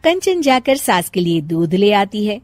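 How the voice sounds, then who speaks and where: A woman narrates a scripted moral tale as the storyteller. Her mid-pitched voice moves with lively intonation, and the recording is dry and close. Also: a little slow, dry recording.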